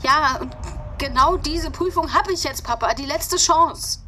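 An anxious young student in a radio play, defensive toward her father. Her voice is high and tense, with faint noise behind it. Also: measured pace, pushed and bright. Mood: desperate, resigned. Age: young adult. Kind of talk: naturalistic German radio drama acting.

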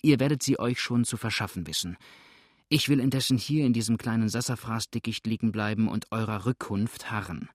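A man's voice: fairly low pitch, a little fast, dry recording, studio quality, close to an everyday voice. A man voicing a hunted, ruthless outlaw in an audiobook, grim and threatening, with a sneering edge. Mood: cold menace. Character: hunted, ruthless male fugitive outlaw, exhausted and menacing. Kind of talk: audiobook character acting.

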